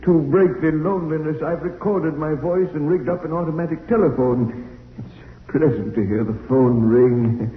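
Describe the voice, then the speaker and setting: Man acting frail elderly surgeon, lonely survivor, hesitant repeats, highish voice, old radio sci-fi, long reverb. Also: narrow, tinny audio, lightly acted. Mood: wistful. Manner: halting, hesitant, repeating words. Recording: old radio recording, cavernous echo, faint noise.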